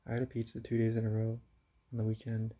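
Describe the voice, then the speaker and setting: A young man talking quietly into his camera at home in a confessional vlog, halting and subdued, with frequent sighs. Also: on the low side, monotone. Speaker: vlogger. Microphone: camera mic. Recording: dull lo-fi camera audio.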